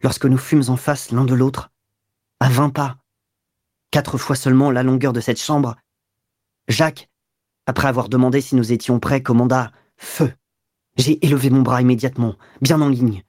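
A man's voice: mid-range voice, steady tone, moderate pace, pauses often, a little mellow, dry recording, studio quality, close to an everyday voice. A man voicing a boastful, ambitious young man in an audiobook, telling his story in a self-assured, dramatic way.

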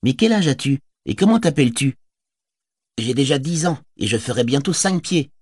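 Man playing a proud excitable kid, pitched way up, lively and a bit husky. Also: moderate pace, no echo. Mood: boastful. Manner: eager, boastful, excitable. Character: proud eager ten-year-old boy, a guard's son. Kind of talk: audiobook character voice.

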